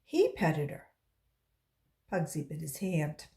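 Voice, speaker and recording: A woman reading a children's story aloud in an expressive, deliberate storytelling way. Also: low-pitched, creaky. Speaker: volunteer storybook reader.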